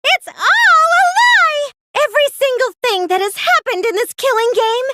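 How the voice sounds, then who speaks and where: A woman's voice: very high-pitched, animated, normal speed, pushed and bright, no echo, full character voice. A woman voicing a gleeful, gloating young female cosplayer mastermind in an anime video game, theatrical and taunting.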